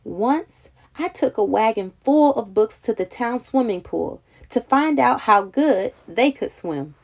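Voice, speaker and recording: A woman reading a children's picture book aloud in an expressive, dramatic, childlike storytelling manner. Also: on the high side, measured pace, vocal fry.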